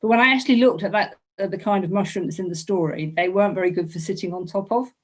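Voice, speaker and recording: A woman's voice: mid-range voice, quick pace. Woman illustrator chatting, thinking aloud. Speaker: illustrator being interviewed. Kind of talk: casual conversational interview about own work. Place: online video call.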